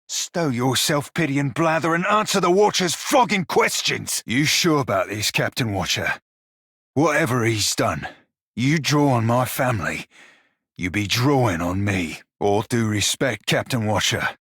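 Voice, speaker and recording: An actor playing a gruff, wry, loyal blue-skinned pirate shiphunter in a video game, with a husky voice and archaic, swaggering nautical dialect. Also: male voice, mid-range voice, fairly bright tone, no room sound, studio quality, heavily acted. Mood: swaggering, brash, then defiant. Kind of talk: video game character voice lines.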